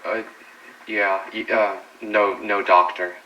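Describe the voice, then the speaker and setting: A man's voice: on the low side, flat delivery, takes it slowly, far from the mic, lo-fi sound. A man playing an eager, awkward defense technician in a space drama, nervous and stammering, a little off mic. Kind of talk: analog horror space audio drama.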